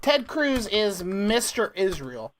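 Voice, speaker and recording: Male prank-call host, lively melodic delivery, casual and playful, keeps interjecting and repeating himself. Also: high voice, slow, a little hiss or hum.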